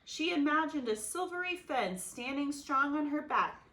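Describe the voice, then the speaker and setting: A woman reading a children's picture book aloud expressively, with dramatic pauses and emphasis. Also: sits high, lively intonation, pushed and bright. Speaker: storybook reader.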